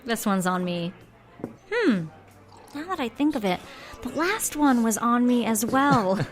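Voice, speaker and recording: Lively, teasing female bartender. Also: on the high side, a little slow, soft background music. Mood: teasing, wry. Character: teasing, quick-witted small-town female bartender. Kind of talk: audio drama acting.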